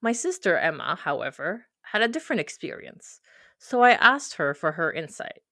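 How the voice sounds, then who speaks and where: A woman's voice: fairly low pitch, sing-song, normal speed, gravelly, pushed and bright, no echo, clean studio sound. A young woman from Quebec whose first language is French, reading her own reflective personal story. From Quebec, Canada. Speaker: narrator of a personal audio piece. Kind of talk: scripted personal narration.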